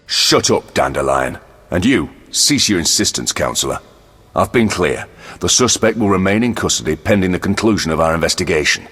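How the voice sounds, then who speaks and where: Casting: gruff game jail captain, commanding and curt, low voice in a reverberant space. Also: male voice, lively intonation, moderate pace, a bit bright, exaggerated voice. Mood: stern. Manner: brusque, commanding. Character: gruff, no-nonsense, corrupt male jail captain. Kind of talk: video game voice acting.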